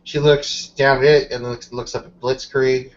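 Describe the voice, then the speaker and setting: A man's voice: medium pitch, flat delivery, moderate pace. A man casually improvising narration as a player in a tabletop role-playing game. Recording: dull lo-fi audio.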